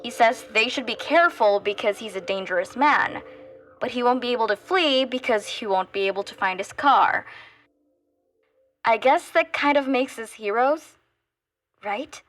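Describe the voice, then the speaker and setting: An actress playing a shaken teenage high school girl, giving candid first-person testimony in a casual, youthful way. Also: moderate pace, fairly bright tone, faint music behind, natural voice. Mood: stunned. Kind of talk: dramatic first-person testimony in audio drama.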